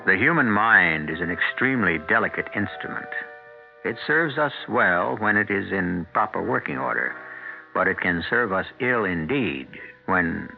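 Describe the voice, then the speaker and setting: A man playing an ominous, philosophizing radio mystery host, narrating in a measured, grandiose, theatrical manner. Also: deep voice, gravelly, exaggerated voice. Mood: ominous, sardonic. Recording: muffled 1970s radio recording with music.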